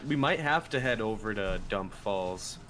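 A man on a gaming stream reading chat aloud and joking with his teammates, playful and excitable. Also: on the low side, measured pace, slight background noise. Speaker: fellow streamer.

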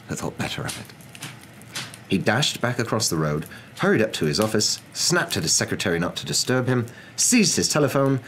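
A young man reading a story aloud as a dramatic, expressive ASMR narrator. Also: sits low, slight background noise, poor audio.

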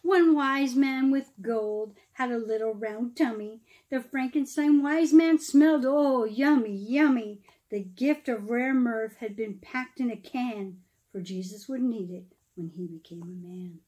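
A middle-aged woman with a notably high-pitched voice reads a rhyming picture book for children. Her delivery is theatrical and emphatic, with deliberate pauses between phrases. She sounds like a storyteller addressing young listeners directly. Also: a little mellow. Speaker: pastor reading a children's story. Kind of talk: expressive children's storybook read-aloud in rhyme.

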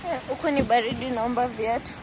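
A woman's voice: fairly high pitch, steady tone, fast talker. A young woman in her twenties vlogging a hike on a forest trail, chatty and giggly, switching between English and Swahili, filmed on a phone held at arm's length. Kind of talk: casual vlog narration while hiking. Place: outdoors on a forest trail near a waterfall. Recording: outdoor noise from stream and waterfall.

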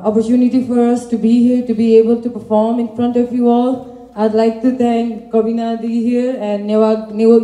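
A woman's voice: fairly high pitch, mellow. A woman dance instructor giving a public thank-you speech at a podium. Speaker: co-founder and senior dance instructor. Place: large indoor hall at a podium. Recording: echoey hall, muffled tone.

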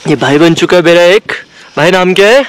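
A young man talks eagerly to his viewers as he camps on an open mountain meadow. His voice sits high for a man, and he calls out to the people around him. It is picked up by an action camera out in the open. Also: a little fast, background noise. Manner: excited, chatty, calls out to viewers. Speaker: travel vlogger. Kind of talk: casual camping vlog. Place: outdoors on a mountain meadow by a campfire.

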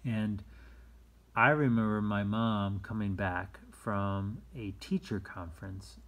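A man's voice: lowish voice, slow pace. A man reading a picture book aloud to young children in simple, encouraging phrases. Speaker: read-aloud storyteller for children.

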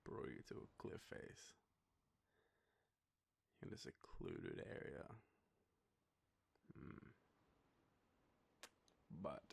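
A young Australian man acting an intimate boyfriend roleplay as a shy, nervous partner, speaking softly and haltingly into a close microphone. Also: low voice. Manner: hesitant, nervous, halting with breathy trailing phrases. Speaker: audio roleplay performer. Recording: faint background noise, ambient sound.

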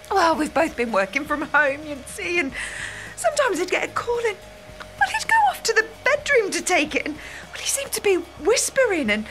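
An actress playing an anxious, apologetic British wife at the hairdresser's in an audio play, hesitant and flustered. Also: female voice, very high voice, fairly bright tone, exaggerated voice, rough recording.

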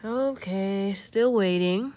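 A woman's voice: mid-range voice, slow pace, dark tone. A young woman hosting a casual step-by-step tech tutorial, thinking aloud and reading prompts in an informal way.

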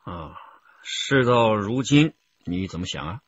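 A man's voice: on the low side, animated, measured pace, husky, dull audio. A man playing a firm, authoritative police interrogator questioning in a radio crime drama. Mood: calm probing. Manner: authoritative, probing.

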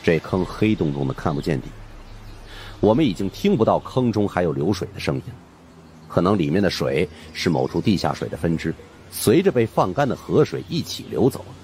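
A man's voice: sits low, very animated, fairly quick, a little mellow, a little hiss or hum. A man narrating a horror story in a suspenseful, theatrical way. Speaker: audiobook narrator.

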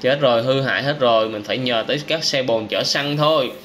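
A young man hosting a channel, giving lively game reaction commentary for kids, exclaiming often and talking straight to viewers. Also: mid-range voice, brisk, slight background noise. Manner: excitable, frequent wow exclamations, addresses viewers directly. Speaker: channel host.

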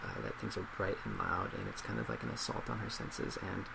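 A man in his twenties in a soft-spoken ASMR role play as a psychologist, measured and reflective. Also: deep voice, creaky. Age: 20s. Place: small indoor office at a desk. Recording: steady loud laptop fan hiss.

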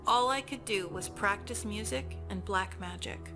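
A woman's voice: medium pitch, unhurried, over music, close to an everyday voice. A woman voicing a candid young demoness telling her story in a cartoon comic. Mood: candid, wistful.

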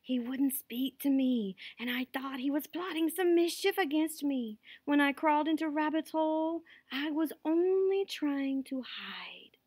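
A woman voicing a slithery male python in a folktale, making drawn-out, self-pitying excuses. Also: sits high, darkish tone, no room sound, pitched above the performer's own voice. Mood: plaintive, blaming.

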